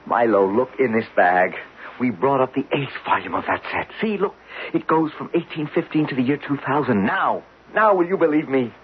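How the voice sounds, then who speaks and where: Guy acting a desperate american geologist, insistent n pleading, husky, really animated, lo-fi 70s radio play. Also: mid-range voice, normal speed, slight background noise, natural voice. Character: earnest, desperate male geologist pleading to be believed. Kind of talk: 1970s radio drama acting. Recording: muffled lo-fi 1970s radio recording.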